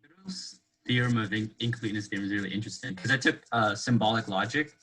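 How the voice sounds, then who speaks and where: A man's voice: lowish voice, fast, a bit bright, husky, rough recording. A man speaking as a student in a casual discussion, phrasing things hesitantly with lots of "like". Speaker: student or class participant.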